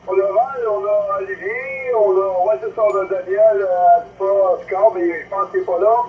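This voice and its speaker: A man's voice: high-pitched, steady tone, normal speed, phone-quality sound. A man with a Quebec French accent co-running a CB radio session in casual operator chat. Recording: radio noise behind the voice.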